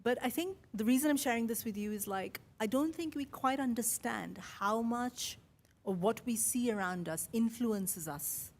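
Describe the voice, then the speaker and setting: A middle-aged woman with an Indian accent, a mix of Mumbai, Kerala and London, telling a personal story in a chatty, animated way as a podcast host. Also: fairly high pitch, moderate pace. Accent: Indian English, Mumbai and Kerala with London influence. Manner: chatty, animated, quotes her own thoughts.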